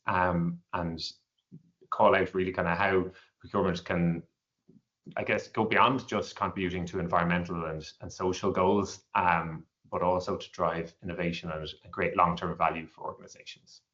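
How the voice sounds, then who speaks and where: An Irish man hosting a webinar, introducing the speaker with hesitant, halting phrasing. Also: low-pitched, a bit bright. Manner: hesitant, many ums and restarts. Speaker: event host. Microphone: video call. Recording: dull lo-fi video call audio.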